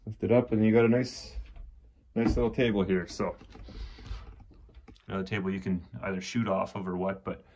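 Young guy, low steady voice, loose walkthrough with lots of restarts, phone held at arm's length. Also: moderate pace, pauses often, muffled recording, slight background noise. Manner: relaxed, rambling, frequent restarts and fillers. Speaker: vlogger. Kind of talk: casual walkthrough tour. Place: small insulated wooden shack indoors. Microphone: handheld phone camera at arm's length.

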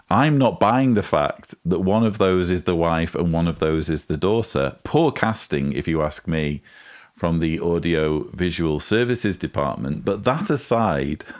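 A man in his forties hosting a critical video commentary in a wry, conversational way, close on a studio mic. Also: sits low. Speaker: host, commentator. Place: small book-lined room, indoors. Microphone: close studio mic on boom arm.

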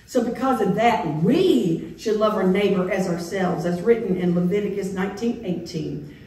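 A middle-aged woman pastor preaching a sermon in a church sanctuary, playful and animated, acting out the voices of biblical figures. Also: medium pitch, moderate pace. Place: church sanctuary with organ pipes. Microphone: far camera in the pews. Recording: echoey church from a distance.

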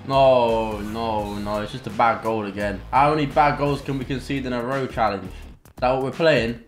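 A young man giving excitable commentary on his own football video game play. He mutters between moves and bursts into loud shouts at goals. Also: medium pitch, moderate pace. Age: young adult. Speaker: gaming content creator. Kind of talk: live gameplay commentary. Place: home gaming room indoors. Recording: roomy echo, faint game music behind.

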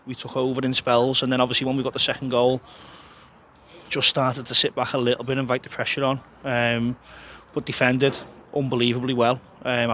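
Frank football manager outdoors. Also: male voice, medium pitch, a bit bright, a little hiss or hum. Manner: frank, chatty, frequent restarts and fillers. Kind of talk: post-match interview. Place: outdoors beside a football pitch. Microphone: handheld interviewer mic held close.

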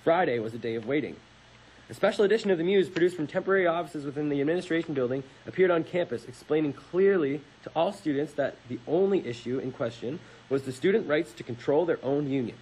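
A man's voice: a little high, expressive, fast, a little mellow, husky, poor audio. A young adult man from Canada speaking as a radio show host in a studio. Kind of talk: casual radio talk and dramatic reading.

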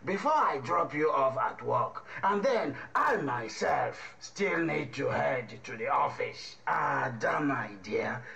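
An actor playing a fatherly, middle-aged Nigerian uncle in a radio drama, soothing and measured, with a Nigerian accent. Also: fairly high pitch, lively intonation, gravelly, bright, forceful tone, heavily acted. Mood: hushed, placating. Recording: dull, faintly noisy recording.

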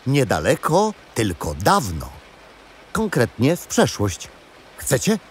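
Cheerful grandpa guide for children's history radio play: very lively intonation, explanatory, medium pitch. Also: male voice, takes it slowly, slight background noise. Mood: playful, inviting. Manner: animated, explanatory storytelling to children. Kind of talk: children's audio drama acting.

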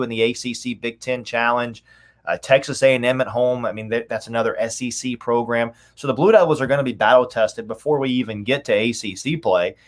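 A man in his thirties giving conversational sports commentary as a guest on a video call from a room at home. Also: medium pitch. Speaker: guest, radio play-by-play announcer.

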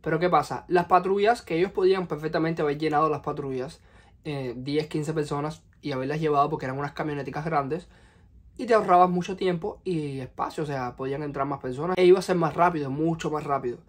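A young man in his twenties from Cuba, speaking with a Cuban Spanish accent, telling a personal story to the camera in his bedroom with lively, expressive delivery. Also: a little high, fast. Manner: animated, expressive, gesturing with hands. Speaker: vlogger. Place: small bedroom, indoors. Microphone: camera in front at close range.

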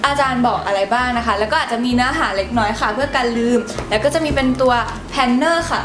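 A woman's voice: on the high side, fast talker. A young teenage schoolgirl co-hosting a student vlog, explaining things politely to viewers. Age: young teenager, secondary school student. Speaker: student co-host of a school channel. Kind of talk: scripted vlog presenting to camera. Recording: roomy echo, music behind. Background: background music.

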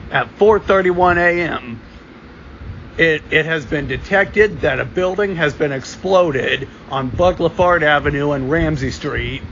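A man reading amateur mock emergency broadcast announcements in a formal, deliberate way, sometimes stumbling and repeating phrases. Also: fairly high pitch. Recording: dull lo-fi sound with loud ambient hum.

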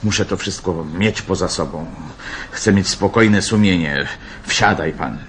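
An actor playing an uneasy, conscience-stricken male engineer in a radio drama, hesitant and halting, repeating himself. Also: low voice, background noise. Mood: troubled, resolute.

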